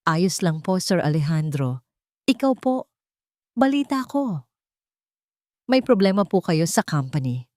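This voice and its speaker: A woman's voice: fairly low pitch, very animated, unhurried, long pauses, a little mellow, no echo, studio quality. A woman voicing a humble, deferential mother who works as a maid in a dramatic audio story. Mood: polite, hesitant. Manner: polite, deferential. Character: humble, dejected young mother working as a maid.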